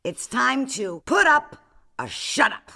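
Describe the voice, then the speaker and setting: Woman playing a brash, bright-voiced art dealer boss. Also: a little high, very animated, slow pace, creaky, exaggerated voice. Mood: pushy, urgent. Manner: theatrical, calls people darling and sweetie. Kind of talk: comedic radio drama acting.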